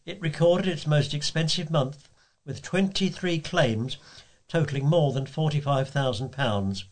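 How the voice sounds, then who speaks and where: A man reads local news stories from a script as a volunteer newsreader. His voice is pitched a little higher than that of most men. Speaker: volunteer newspaper reader.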